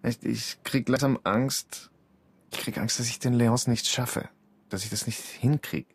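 An actor playing a soft-spoken, anxious young man in a radio drama. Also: medium pitch, fairly even intonation, measured pace, husky, no echo.

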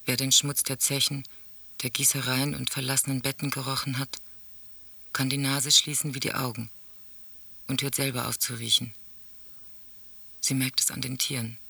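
A cold, detached man reciting a poetic radio monologue. His delivery is measured and clinical, almost without melody. The voice is piercingly tense and creaky, with a husky grain. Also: medium pitch, flat delivery, sharp tone, no echo, heavily acted.

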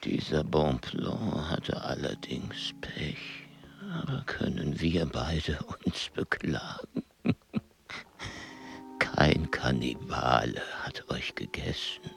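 Whispery, very deep, sardonic old man. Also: slow pace, fairly bright tone, heavily acted. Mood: wry. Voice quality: breathy, whispery, gravelly. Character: wry, frail old male scholar near death. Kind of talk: German radio play acting.

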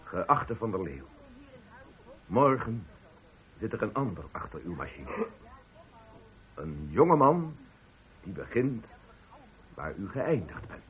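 A man's voice: fairly low pitch, very animated, slow, long pauses, a bit bright, heavily acted, rough recording. A man playing a pompous, patronizing company director, speaking with unctuous ceremony in a Dutch radio play. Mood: pompous, ceremonious. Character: pompous, patronizing male company director giving farewell speech. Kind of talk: Dutch radio play, formal speech acting.

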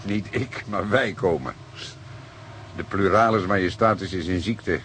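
A man's voice: deep voice, a little slow, fairly bright tone. An actor playing a seasoned middle-aged police detective in a radio play, teasing his partner in a wry, fatherly way. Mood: amused. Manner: wry, avuncular, chuckling banter. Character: seasoned older male police detective, teasing, paternal, unhurried. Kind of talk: naturalistic radio play acting. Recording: radio play with clear background noise.

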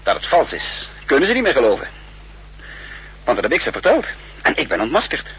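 An actor playing a shrewd, weary spymaster on the run in a 1960s radio drama, speaking deliberately and broodingly. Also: male voice, mid-range voice, wildly swooping pitch, fairly bright tone, breathy edge, exaggerated voice, poor audio. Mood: grim, brooding. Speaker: lead actor and first-person narrator. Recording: humming, hissy 1960s radio recording.

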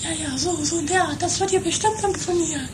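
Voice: very high voice, fast talker. A performer voicing a bossy, doting girlfriend in an improvised eighties comedy radio play. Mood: coaching. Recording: very noisy home radio play.

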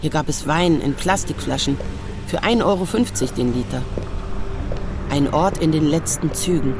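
A woman's voice: low-pitched, moderate pace, noisy background. An actress playing a wry, reflective woman writer around forty in an audio drama. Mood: wry melancholy. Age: middle-aged. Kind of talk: literary first-person narration and acted dialogue in an audio drama. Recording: music behind the voice.

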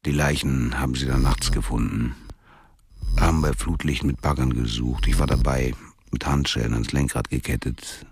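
A bitter, frightened convict in a radio play. The voice is extremely low and crackles with fry. He talks coarsely and wearily, over clearly noisy ambience. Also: steady tone, moderate pace. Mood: grim, weary. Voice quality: very deep, gravelly. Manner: coarse, weary, cursing. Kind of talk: radio drama monologue.

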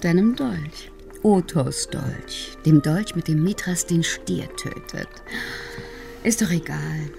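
An actress playing a cool, knowing, sardonic investigator in a naturalistic German radio crime drama. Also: female voice, on the low side, takes it slowly, creaky, warm tone, faint music behind. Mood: teasing.

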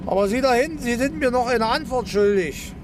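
High-voiced grumpy village policeman. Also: a little fast. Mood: accusing. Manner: sarcastic, blunt, interrogating. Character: gruff, suspicious, sarcastic German village policeman. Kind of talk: German radio drama acting. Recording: outdoor ambient noise behind.

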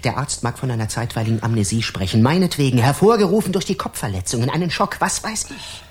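A man's voice: medium pitch, lively intonation, fast, close to an everyday voice. An actor playing a harsh, scornful Parisian justice official in a German radio crime drama, curt and pompous as he interrogates. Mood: menacing, pressing.

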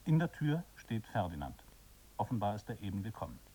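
A man narrates a radio drama in scripted, descriptive prose. His voice is lowish and mellow. It sounds a little distant from the microphone. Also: sing-song, a little fast, slight background noise. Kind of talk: scripted scene-setting narration.

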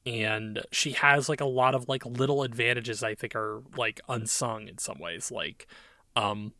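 A man's voice: mid-range voice, a little slow, bright, forceful tone, dry recording, clean studio sound. A man co-hosting a gaming podcast, talking in a rambling, enthusiastic way with lots of fillers. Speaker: podcast co-host. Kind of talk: casual gaming podcast chat.

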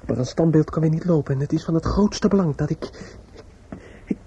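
An actor playing a desperate, distraught young man in a radio play, muttering in a halting, repetitive, obsessive way. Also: a little high, warm tone, dull audio, a little hiss or hum, lightly acted. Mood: brooding, dazed.

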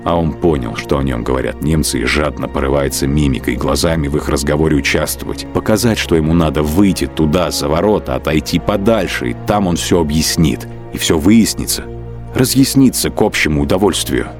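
Very deep-voiced male radio drama narrator. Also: fairly quick, over music. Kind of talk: scripted literary narration.

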